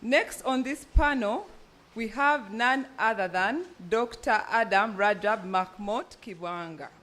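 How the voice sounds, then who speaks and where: High-voiced Ugandan woman moderating a panel. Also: measured pace, fairly bright tone. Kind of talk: formal spoken introductions of panelists. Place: event venue, speaking live to an audience.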